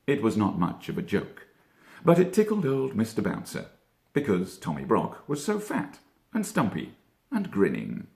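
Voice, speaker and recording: A male actor narrates a children's storybook with highly animated, swooping intonation. His lowish voice has a creaky, gravelly grain and deliberate dramatic phrasing. The room around him adds noticeable echo.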